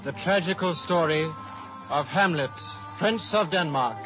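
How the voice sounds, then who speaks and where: An actor playing an earnest, loyal scholar in measured, solemn 1950s radio Shakespeare. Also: male voice, on the high side, loud music behind the voice, lightly acted. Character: Horatio, earnest loyal scholar recounting a tragedy. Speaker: narrator. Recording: muffled 1950s radio broadcast.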